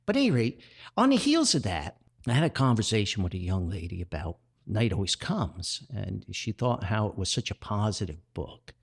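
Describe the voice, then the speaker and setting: A man's voice: on the low side, very animated, moderate pace, creaky, breathy edge. An older man hosting a podcast, reflecting in a rambling, earnest monologue, often repeating words and backtracking as he goes. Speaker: podcast host and author.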